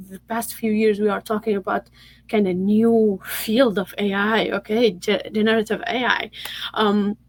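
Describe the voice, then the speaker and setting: A woman in her thirties, from Tunisia, presents a workshop over a video call. She speaks at an everyday pitch, pausing often on uh and um and restarting her sentences. The sound is dry, with no room around it. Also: no room sound, rough recording. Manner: filler-heavy, many uh and um restarts.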